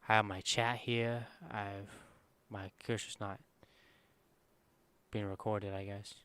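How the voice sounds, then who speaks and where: A man with a quiet voice walking through a screen-recorded software tutorial in a casual, rambling way, full of fillers and self-corrections. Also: on the low side, level tone, takes it slowly, a little hiss or hum. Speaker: streamer giving a software tutorial.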